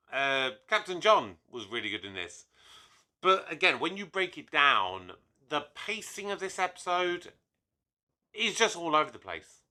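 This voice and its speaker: A young man chatting to camera in a casual solo review, slightly hoarse from a cold, animated and full of asides. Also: a little high. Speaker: YouTube reviewer.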